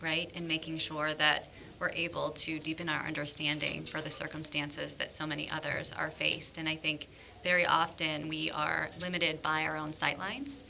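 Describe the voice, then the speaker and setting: Former principal lady on a podcast, low gravelly voice, pretty flat, speaks polite and careful. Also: moderate pace. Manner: thoughtful, articulate, polite. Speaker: guest, former school principal. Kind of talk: podcast interview answers.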